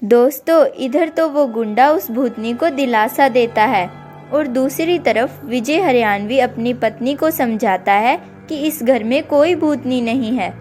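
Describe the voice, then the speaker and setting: A woman tells a scripted story for children in a slightly high voice. She addresses listeners directly as she narrates, with music underneath. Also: measured pace, darkish tone. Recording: music behind the voice.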